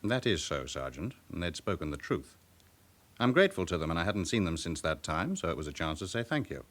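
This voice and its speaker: A proud, guarded businessman in a radio drama. He speaks low, with wide swings of pitch, in a formal and controlled way that turns faintly haughty. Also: very animated, a little fast, fairly bright tone. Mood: guarded, courteous. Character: smooth respectable businessman with a past, guardedly proud. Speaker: actor in a police drama. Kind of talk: naturalistic radio drama acting.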